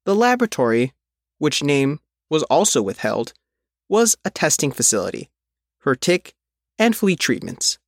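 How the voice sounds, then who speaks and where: A man reading a news article as its reporter, in measured, phrase-by-phrase scripted narration. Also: on the high side, big pitch swings, no room sound, studio quality. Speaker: reporter reading their own written article.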